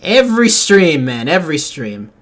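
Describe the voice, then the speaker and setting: A woman's voice: sits low, sing-song, moderate pace. A woman streaming a game live, chatting casually with her viewers and giggling often. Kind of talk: casual live-stream chat over gameplay.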